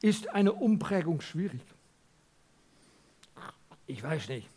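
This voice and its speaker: A man from the Netherlands with a Dutch accent, preaching a sermon to a congregation. He speaks emphatically, asks his listeners questions, and drops from loud to a near whisper. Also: fairly high pitch, big pitch swings, slow pace, slightly rough.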